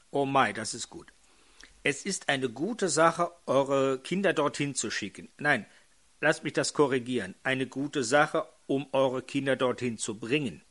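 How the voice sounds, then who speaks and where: An older man preaching a sermon in a hoarse voice, putting questions to his congregation. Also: medium pitch, fairly bright tone.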